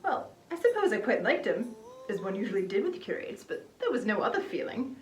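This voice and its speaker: A woman's voice: medium pitch, very animated, fairly quick, faint music behind, natural voice. Woman playing genteel lady, distant and echoey. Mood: guarded surprise. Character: reserved, genteel Englishwoman, secretly in love. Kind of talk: amateur dramatized novel reading. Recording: roomy, distant microphone.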